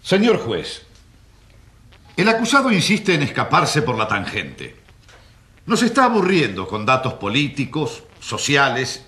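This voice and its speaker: An actor playing a theatrical, sneering prosecutor, pompous and needling, in a radio courtroom drama. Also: male voice, fairly high pitch, fairly bright tone, full character voice.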